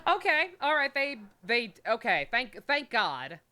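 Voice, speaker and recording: A woman's voice: fairly high pitch, very animated, measured pace, sharp tone. A woman doing casual, joking live game commentary, sweary and sarcastic. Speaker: game streamer.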